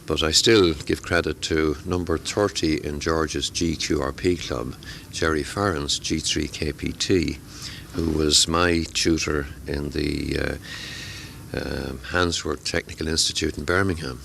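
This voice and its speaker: A middle-aged man tutoring amateur radio speaks in a very low, gravelly voice. He talks haltingly, filling gaps with "uh" and repeating words. A faint hiss sits behind him. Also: fairly even intonation, fairly bright tone. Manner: hesitant, many fillers and repeated words. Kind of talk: conversational interview. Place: radio convention.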